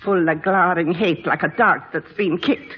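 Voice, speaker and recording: A woman's voice: on the low side, normal speed, lightly acted. An actress playing a blunt, doubtful household servant woman in an old radio drama. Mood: wary. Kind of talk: 1940s radio drama acting. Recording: muffled, faintly noisy radio broadcast.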